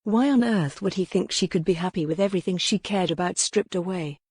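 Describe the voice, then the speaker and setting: A woman's voice: lowish voice, a little fast, a little mellow, breathy edge, dry recording. A woman narrating a scripted historical romance audiobook.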